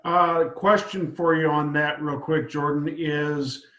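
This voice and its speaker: A man's voice: fairly high pitch, level tone, takes it slowly, sharp tone. A man taking part in a conference call, casually asking business questions, in roomy, echoing audio.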